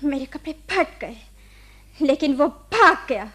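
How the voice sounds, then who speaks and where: A woman's voice: sits high, animated, slow pace, fairly bright tone, heavily acted. A woman acting a proud, accusing young nurse in a radio play, with an indignant, cutting way of speaking. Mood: bitter, accusing. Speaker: radio drama actor.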